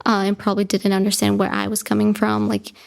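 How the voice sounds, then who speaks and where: A woman's voice: mid-range voice, completely flat delivery, fast talker, vocal fry. A woman in her twenties from Bolivia, a native Russian speaker, talking reflectively as an interview guest and leaning on "like" and "you know". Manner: reflective, heavy use of "like" and "you know", laughs lightly. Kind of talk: casual conversational interview.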